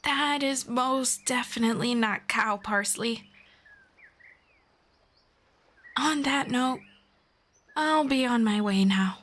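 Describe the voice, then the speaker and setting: A woman playing a friendly, calm forest elf in an ASMR fantasy roleplay, speaking in a measured, playful, gently sarcastic way. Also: a little high. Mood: cautious, wry. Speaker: roleplay voice performer.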